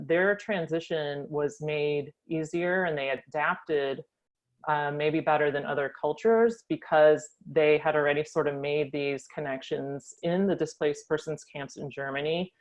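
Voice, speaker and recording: Low-voiced woman telling her life story. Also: highs cut off. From United States. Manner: thoughtful, frequent ums. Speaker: guest. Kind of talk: reflective personal storytelling.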